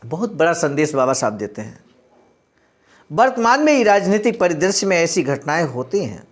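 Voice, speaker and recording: A man's voice: fairly high pitch, very animated, normal speed. A man reading a speech aloud and explaining it, addressing his listeners in an earnest, exhortative way. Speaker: speaker reading and commenting on a historical speech.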